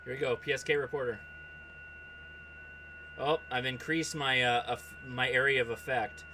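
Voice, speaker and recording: A man hosting a hobby livestream, chatting casually and reacting aloud in an excitable way, often addressing people by name. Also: mid-range voice, moderate pace, a little hiss or hum. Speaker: host of a ham radio livestream. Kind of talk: casual livestream chat and running commentary while operating radio.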